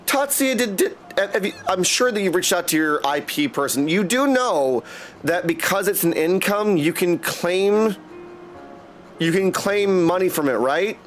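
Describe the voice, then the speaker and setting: A chatty man hosting a game livestream in a high voice. His pitch swings around a lot as he talks casually and amiably to viewers, with faint music behind him. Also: lively intonation, a little slow. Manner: friendly, chatty, greets viewers by name. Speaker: game streamer. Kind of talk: casual livestream chat over gameplay.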